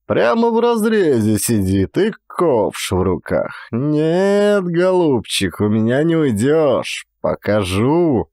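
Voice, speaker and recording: A man plays a triumphant, blustering guard in an audiobook drama. He scolds and commands excitedly, his voice leaping high and swinging widely, recorded dry and close. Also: takes it slowly, hardly any pauses, no echo, heavily acted. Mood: agitated, boastful. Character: blustering, triumphant male mine guard chasing gold thieves.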